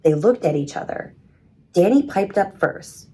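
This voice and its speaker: A woman reading a children's story in an expressive, measured storytelling manner. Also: on the low side, rough recording. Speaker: storybook reader. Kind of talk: scripted children's story narration.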